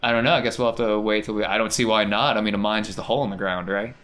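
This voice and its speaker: A man's voice: fairly low pitch, steady tone, rapid-fire, fairly bright tone. A man acting as game master, narrating an improvised tabletop game in a casual way.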